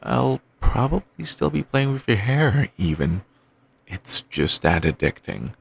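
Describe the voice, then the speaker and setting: A man voicing an obsessive, doting young boyfriend, rambling softly in a bedtime comfort roleplay. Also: medium pitch, very animated, a little slow, creaky, slightly rough, heavily acted. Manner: soft, rambling, affectionate murmuring.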